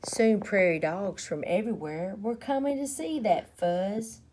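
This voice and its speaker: A woman reading a children's picture book aloud in a dramatic, expressive way, with deliberate and playful emphasis. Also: mid-range voice, a little hiss or hum. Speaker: story reader.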